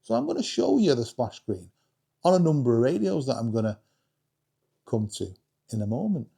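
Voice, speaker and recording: Animated man, mellow voice. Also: medium pitch, moderate pace, creaky, slightly rough. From England. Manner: self-deprecating, jokey, addresses viewers directly. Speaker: hobbyist video creator and host. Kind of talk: casual chatty vlog presentation.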